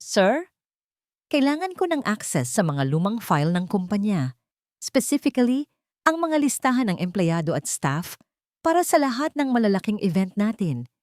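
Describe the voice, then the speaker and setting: A woman's voice: medium pitch, sing-song, normal speed, a little mellow, no echo, clean studio sound, voice pushed up, natural voice. Woman voicing a curt, commanding CEO. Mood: businesslike, insistent. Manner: curt, authoritative orders. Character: commanding, impatient male company CEO.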